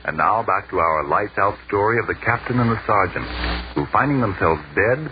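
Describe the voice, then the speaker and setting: Male vintage radio announcer, low voice with lively swings, formal and emphatic, muffled noisy broadcast. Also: a little fast, over music. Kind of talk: 1940s scripted radio announcing and advertising.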